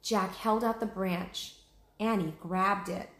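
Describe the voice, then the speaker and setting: A woman's voice: mid-range voice, fairly even intonation, takes it slowly, a bit bright. A woman hosting a read-aloud, narrating a children's storybook expressively. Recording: echoey room.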